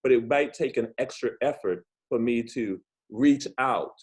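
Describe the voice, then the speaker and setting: An earnest man hosts a playful interview series for kids, working through his answers aloud with "um"s and restarts. His voice sits at an ordinary middle pitch, and he sounds like he is on a video call. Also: a little slow, darkish tone. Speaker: host. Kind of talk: children's storytelling interview.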